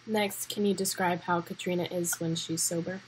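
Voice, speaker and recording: A woman's voice: lowish voice, steady tone, normal speed, slightly rough, low-quality recording. A young American woman reading scripted documentary narration and interview questions. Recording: noticeable background noise.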